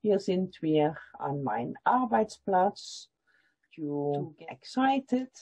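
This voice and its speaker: Woman, felting teacher, husky voice, lively pitch, slightly muffled video call. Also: takes it slowly. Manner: explains step by step, repeating lines in German. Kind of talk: online workshop demonstration.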